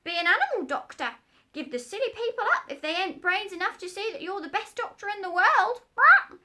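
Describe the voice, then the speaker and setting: A woman voicing a proud, bossy female parrot who squawks and talks in a sharp, emphatic way. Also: very high voice, very animated, a little fast, pitched above the performer's own voice, exaggerated voice. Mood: squawky, bossy.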